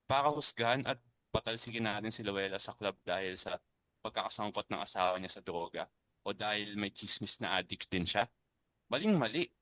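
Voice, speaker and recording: A man's voice: on the low side, fairly quick, bright, forceful tone, no echo. A man with a Filipino accent playing a principled, compassionate club uncle in a dramatic reading. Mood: earnest, firm. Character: principled older club uncle urging compassion over fear.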